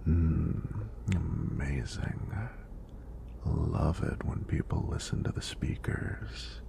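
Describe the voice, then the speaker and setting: A man playing a friendly, teasing EMT in a soft-spoken ASMR roleplay, with a deep, breathy, gravelly voice and an unhurried, reassuring manner. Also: full character voice. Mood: calm, attentive. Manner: unhurried, reassuring, lightly playful. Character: friendly, teasing young male EMT doing a screening.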